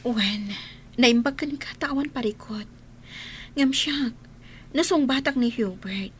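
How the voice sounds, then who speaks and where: Actress doing hesitant young woman in radio drama, worried and earnest, a bit high, slight noise behind. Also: unhurried, lightly acted.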